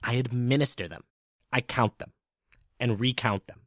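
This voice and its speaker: A man's voice: medium pitch, normal speed, a bit bright, dry recording, studio quality, lightly acted. A man playing a stressed, self-important middle-aged businessman in a storybook audio drama, brusque and pompous, with stammers and muttered sums. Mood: pompous, insistent. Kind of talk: theatrical storybook audio acting.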